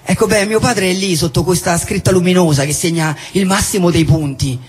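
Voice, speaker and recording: A man acting a disillusioned, jobless character, blunt yet wistful, in halting stage dialogue with repeated phrases and a husky voice. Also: sits high, fairly even intonation, faint music behind. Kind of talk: theatrical stage dialogue and monologue. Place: theatre hall, live show. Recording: echoey hall, live stage recording.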